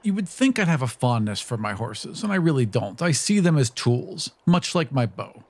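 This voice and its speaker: A man's voice: medium pitch, very animated, normal speed, a little mellow. A man playing a tabletop roleplaying game, casually narrating what his character does. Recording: music playing behind the voice. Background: background music.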